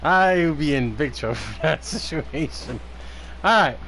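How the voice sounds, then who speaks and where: High-pitched, animated male game streamer. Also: moderate pace, a little hiss or hum, low-quality recording. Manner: sweary, giggly, rambling reactions. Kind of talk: casual live gameplay commentary.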